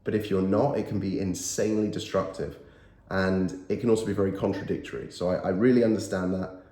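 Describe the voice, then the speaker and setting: A man's voice: low voice, steady tone, fairly quick, dark tone. A man in his thirties, nasal from a cold, giving earnest and emphatic motivational talk to camera and repeating his key phrases. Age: 30s. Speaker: motivational YouTuber. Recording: roomy echo.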